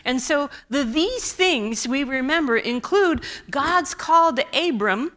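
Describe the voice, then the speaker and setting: A woman pastor giving a sermon, unhurried and measured, with wry asides, speaking English as a second language after Russian. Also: high-pitched, animated, fairly bright tone.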